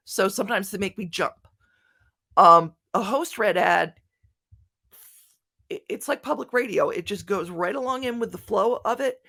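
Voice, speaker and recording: A woman with a Philadelphia American accent answering questions as a guest speaker, animated and halting, often restarting her sentences. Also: mid-range voice. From Philadelphia, United States. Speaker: guest speaker, podcast writer. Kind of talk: conference question-and-answer talk.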